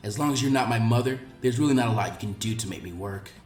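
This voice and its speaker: A man voicing a lazy, unmotivated teenage boy ninja with a bland, deadpan manner, in a story reading. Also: mid-range voice, fast, husky, voice pushed down, lightly acted. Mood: smug, weary.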